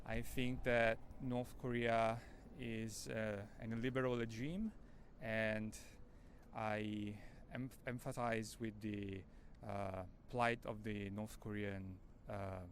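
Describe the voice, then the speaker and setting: A young adult man with an Italian accent, giving measured, formally worded interview answers. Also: fairly low pitch, steady tone. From Italy. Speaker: master's student interviewee. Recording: faint ambient background noise.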